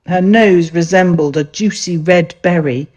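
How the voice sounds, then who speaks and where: A woman reading a rhyming children's picture book aloud in a gentle, expressive storytelling manner. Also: fairly low pitch, a little slow, muffled recording.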